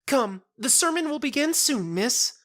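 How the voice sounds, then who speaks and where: A sweetly devout young woman, played by a man in an audio drama, pitched high and softly lilting. Her words are formal and quaintly courteous, and her temper is gentle and earnest. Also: expressive, measured pace, heavily acted. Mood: cheerful. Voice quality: soft, lilting. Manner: formal, quaintly polite speech.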